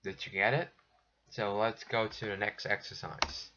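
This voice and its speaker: A man's voice: fairly low pitch, level tone, pushed and bright, slight background noise. A man going through textbook exercises as an English teacher, patiently, with frequent restarts. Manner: patient, slow, restarts often. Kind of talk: recorded textbook lesson walkthrough. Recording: dull lo-fi sound.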